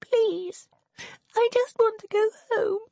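A woman's voice: very high-pitched, steady tone, slow pace, creaky, dark tone, dry recording, muffled recording, voice pushed up, exaggerated voice. A woman voicing a petulant, melodramatic young society lady who wails and gives orders. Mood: imperious. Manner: whiny, imperious, melodramatic.

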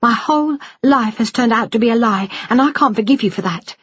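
A woman's voice: fairly high pitch, fairly quick, breathy edge, no room sound, muffled recording, voice pushed up. A woman voicing a wounded, bitter young daughter who speaks in a cold, accusing, defiant way in an audiobook story. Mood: angry, cold. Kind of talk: dramatised audiobook dialogue.

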